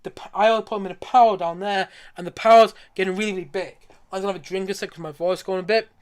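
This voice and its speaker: A man with a high voice talks at a rapid clip as he hosts a casual live stream. He is enthusiastic and rambles along, circling back to repeat his points. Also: fairly even intonation, clean tone. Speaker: streamer showing a collection.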